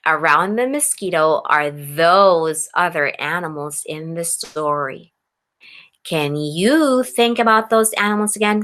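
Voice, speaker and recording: A woman's voice: mid-range voice, bright, forceful tone. A woman teacher reading a picture book aloud expressively to young children, addressing them directly and instructively.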